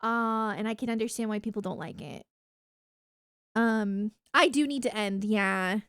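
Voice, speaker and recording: A woman's voice: mid-range voice, unhurried, fairly bright tone, clear, resonant tone, no room sound, studio quality. A woman livestreamer chatting casually with her viewers in an enthusiastic, emphatic gamer way. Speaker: video game livestreamer.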